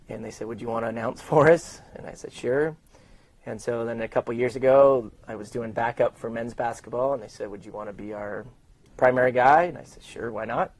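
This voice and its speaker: A middle-aged American man with a medium-pitched voice speaks in a conversational interview style. He tells anecdotes and walks through his announcing work step by step, voicing quoted exchanges as he goes. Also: fairly even intonation, fairly quick. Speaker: sports public address announcer being interviewed. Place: open indoor lobby studio at a desk.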